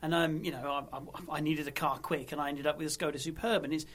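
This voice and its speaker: Quick, slightly high male co-host, eager repeats. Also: flat delivery, fairly bright tone. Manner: fast, stumbling over repeated words. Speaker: podcast co-host. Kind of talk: casual podcast chat.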